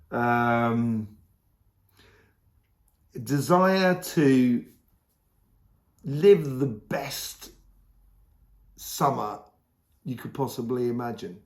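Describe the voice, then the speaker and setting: A hoarse man giving a casual, rambling tarot reading, full of fillers and restarts. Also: medium pitch, roomy sound. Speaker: tarot reader.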